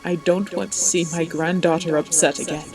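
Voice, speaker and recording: A woman voicing an elderly, gentle grandmother pony turned tortoise, reflective, in an audio drama. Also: lowish voice, steady tone, moderate pace, over music, lightly acted, poor audio. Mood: reflective, awed.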